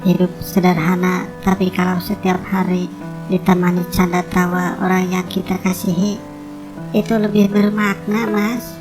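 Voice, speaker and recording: A woman playing a devoted, soft-hearted young woman in love in a cartoon drama, speaking in an earnest, pleading way. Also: fairly low pitch, little pitch movement, moderate pace, music in the background, natural voice. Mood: tender, pleading.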